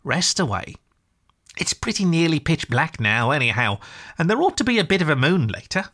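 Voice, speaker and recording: A man voicing a kindly, brisk and plucky male water rat in a storybook, chatty and reassuring. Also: on the high side, very animated, fairly bright tone, voice pushed up, heavily acted. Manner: chatty, reassuring, old-fashioned British turns of phrase. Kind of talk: storybook character voice.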